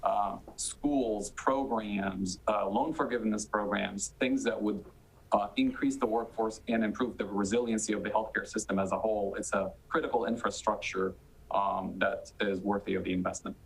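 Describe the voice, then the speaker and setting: A man giving unscripted remarks as a medical panelist, in measured and hesitant phrases. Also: on the low side, fairly even intonation, creaky, husky, low-quality recording. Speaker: panelist, chief medical officer.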